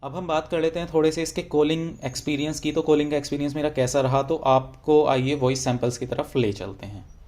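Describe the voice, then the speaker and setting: A man's voice: a little high, muffled recording. An Indian man giving a casual, explanatory product review, mixing English phrases into his speech. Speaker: gadget reviewer.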